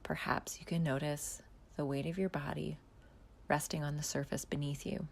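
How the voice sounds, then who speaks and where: A woman leads a guided body scan in a slow, soft voice that often drops to a near whisper. Her pitch sits low and carries a gravelly fry. Long pauses separate her gentle instructions. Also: fairly even intonation. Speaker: mindfulness guide.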